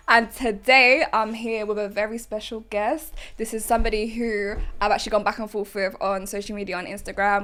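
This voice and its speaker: A young woman hosting a podcast, chatty and quick to laugh, using casual slang. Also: fairly high pitch, a bit bright. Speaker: podcast host.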